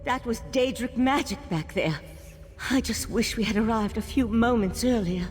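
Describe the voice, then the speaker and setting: A woman voicing an earnest female elf mage of an ancient monastic order, explaining calmly. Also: fairly high pitch, normal speed, in a large hall, a little hiss or hum, natural voice.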